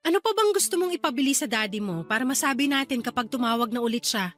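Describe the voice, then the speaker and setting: A devoted young aunt in a radio drama. Her voice is high, affectionate and expressive, with a playful teasing streak. Soft music sits faintly underneath. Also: fast. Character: caring, playful young aunt raising her small niece. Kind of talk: Tagalog radio drama acting.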